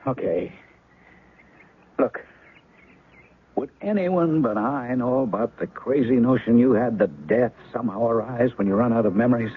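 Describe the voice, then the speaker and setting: A man's voice: medium pitch, moderate pace, pauses often, breathy edge, close to an everyday voice. An actor playing a guarded, suspicious man who claims to be a woman's ex-husband, in a 1970s radio drama. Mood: pleading. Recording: tinny old radio broadcast.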